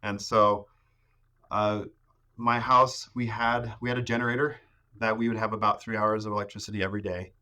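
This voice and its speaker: A man in his thirties, a podcast guest, talking casually about growing up in the rainforest, with many pauses and restarts. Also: on the low side, flat delivery, sharp tone. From Ecuador. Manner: hesitant, many fillers and restarts. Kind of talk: casual conversation.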